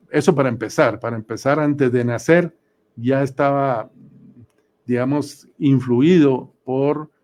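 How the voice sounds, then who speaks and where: Unhurried, mellow man in his sixties. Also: mid-range voice. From Guatemala. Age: 60s. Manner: measured, deliberate, with pauses. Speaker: interview guest. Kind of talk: reflective radio interview answers, recounting memories.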